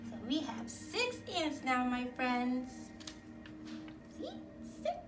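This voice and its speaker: High, lilting woman talking to young children. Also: big pitch swings, takes it slowly. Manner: encouraging, simple child-directed phrasing. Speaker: children's activity presenter and read-aloud host. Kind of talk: instructional talk and read-aloud for young children. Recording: roomy, distant mic, music behind.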